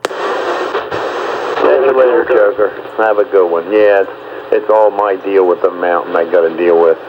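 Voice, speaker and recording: Low guy joshing with buddies on radio, tinny and loud static. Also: fairly even intonation, a little slow. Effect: two-way radio. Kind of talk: casual chat, sign-offs.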